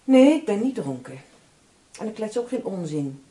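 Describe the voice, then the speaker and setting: An actress playing a sharp, sceptical crime writer in a radio drama, with a brisk, bossy, dismissive manner. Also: female voice, medium pitch, big pitch swings, breathy edge. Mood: suspicious, agitated. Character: sharp, sceptical woman co-writing crime novels with her partner.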